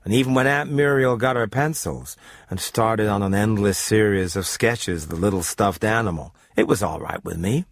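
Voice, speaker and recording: A man narrating a first-person radio drama story in a literate, measured storytelling manner. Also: on the low side, no echo, clean studio sound.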